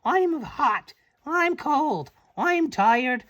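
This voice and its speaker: Man acting little cartoonish baby frog, high pitch, whiny, demanding, storybook character. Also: lively intonation, very slow, bright, forceful tone, exaggerated voice. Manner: whiny, rattling off wants.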